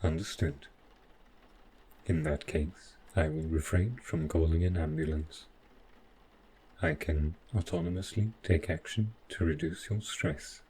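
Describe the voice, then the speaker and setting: Man voicing a very deep, formal robot butler. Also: expressive, warm tone, a little hiss or hum, rough recording. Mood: formal, caring. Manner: formal, measured, literal, machine-like phrasing. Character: devoted, overprotective male robot butler, formal and dutiful. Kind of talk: scripted audio roleplay addressed to the listener.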